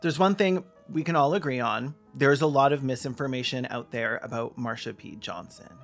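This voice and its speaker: Man, video essay narration, gravelly, pointed, emphatic, argumentative, light music bed. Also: medium pitch, normal speed.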